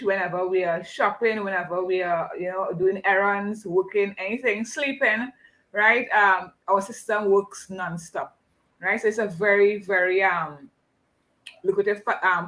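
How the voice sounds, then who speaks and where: Female live-chat host with a Trinidadian accent. Crisp, bright tone, effusive casual delivery. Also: mid-range voice, low-quality recording.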